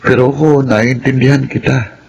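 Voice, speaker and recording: An actor playing a kind, understanding schoolboy son in a Filipino radio drama. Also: male voice, medium pitch, steady tone, moderate pace, creaky, a little mellow, dull audio, a little hiss or hum, lightly acted. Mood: earnest, forgiving. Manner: mild, earnest. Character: kind, understanding, ailing schoolboy twin brother.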